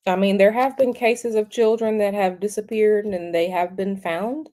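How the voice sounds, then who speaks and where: A woman hosting a tarot livestream, talking casually in a halting, repetitive way with many fillers, sniffly from a cold. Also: medium pitch, steady tone, warm tone.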